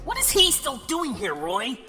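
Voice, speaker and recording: A woman's voice: high-pitched, very animated, moderate pace, loud music behind the voice. A woman playing a cheeky, impatient young girl in an anime fan drama. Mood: urgent. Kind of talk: anime fan-fiction roleplay voice acting.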